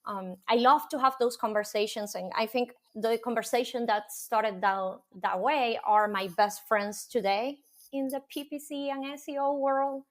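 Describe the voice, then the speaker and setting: Venezuelan woman, slightly high voice, musing. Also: unhurried. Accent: Venezuelan Spanish. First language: Spanish. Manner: thoughtful, many ums and restarts. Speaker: podcast guest. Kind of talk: casual interview chat.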